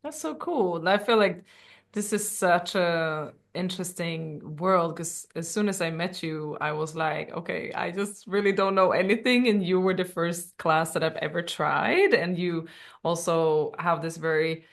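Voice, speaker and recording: A woman co-hosts a casual interview over a video call, full of enthusiasm. Her questions wander and stretch out. Her pitch is a touch low for a woman. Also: measured pace. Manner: enthusiastic, asks long rambling questions. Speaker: co-host.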